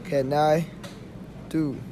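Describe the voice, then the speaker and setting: A placid male sloth clerk acted by a man in an amateur radio play. The voice is slightly high and extremely slow, leaving long gaps between words, with clear noise in the recording. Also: little pitch movement. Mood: placid, unhurried. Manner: word-by-word delivery with long gaps.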